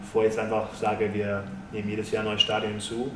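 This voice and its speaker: A man in his thirties, a sponsorship executive, giving measured businesslike interview answers with frequent hesitations, in a stadium lounge. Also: lowish voice, monotone. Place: stadium lounge with glass walls, indoors. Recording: roomy, distant mic, faint hiss.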